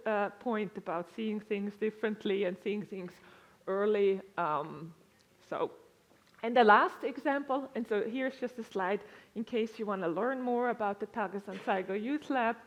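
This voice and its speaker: Woman conference speaker, Swiss-tinged accent, middle pitch, relaxed delivery full of fillers. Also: unhurried. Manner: conversational, with frequent "uh" and restarts. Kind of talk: presentation with slides to a live audience. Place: lecture room with an audience.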